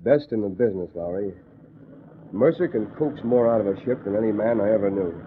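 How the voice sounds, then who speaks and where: An actor playing a calm, authoritative army colonel who explains things in a measured way, in a 1940s radio drama. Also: male voice, on the low side, natural voice. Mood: assured. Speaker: air force colonel. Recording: noisy lo-fi old radio broadcast.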